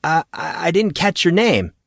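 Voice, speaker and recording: A man's voice: a little high, big pitch swings, normal speed, gravelly, no room sound, clean studio sound, voice pushed up, full character voice. A man voicing an awkward, self-conscious teenage boy with a hesitant manner in an audiobook. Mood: awkward, curious. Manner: hesitant, stammering.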